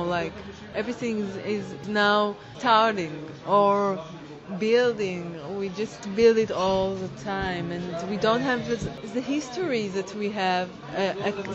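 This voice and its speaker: A woman, a dance teacher and choreographer, answering interview questions in halting English as she searches for words. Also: medium pitch, muffled recording. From Israel.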